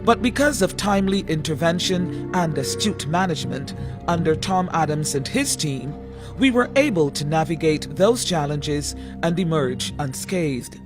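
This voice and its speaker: A man's voice: a little high, expressive, moderate pace. A man reading scripted narration for a political campaign. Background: music.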